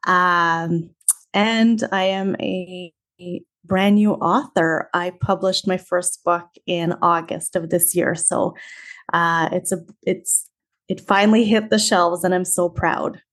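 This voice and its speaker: A woman in her thirties with a Canadian accent, telling her story as an interview guest in a friendly, measured way. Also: lowish voice, fairly even intonation, a bit bright. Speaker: teacher and author, guest.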